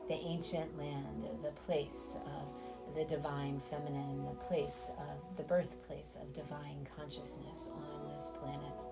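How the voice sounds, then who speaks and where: A woman guides a meditation in a low voice with a gravelly fry at the edges. She speaks very slowly and soothingly, stretching her phrases and leaving long pauses between them. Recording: loud music under the voice. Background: music.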